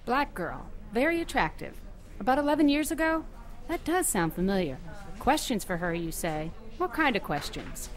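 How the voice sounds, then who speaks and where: A woman's voice: on the high side, big pitch swings, moderate pace, soft background music. A woman playing a chatty, flirtatious nurse in her fifties, in conversational audio drama dialogue. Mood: helpful, curious.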